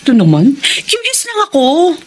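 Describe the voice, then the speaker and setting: A woman's voice: high voice, wildly swooping pitch, moderate pace, a little mellow, slight background noise. An actress playing a nosy, teasing young woman who loves gossip, in a radio drama. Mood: teasing, prying. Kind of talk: Tagalog radio drama acting.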